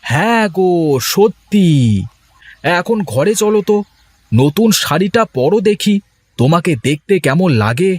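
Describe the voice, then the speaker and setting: Husky-voiced cartoon village fisherman, played by a man. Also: high-pitched, lively intonation, measured pace, pauses often, no echo, full character voice. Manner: cheerful, boastful, teasing. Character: poor village fisherman turned eager trader. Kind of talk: Bengali cartoon voice acting.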